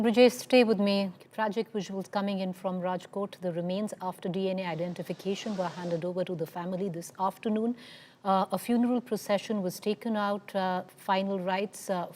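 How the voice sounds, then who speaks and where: A woman's voice: fairly low pitch, a little mellow, a little hiss or hum. A woman with an Indian accent presenting live breaking news as a television anchor.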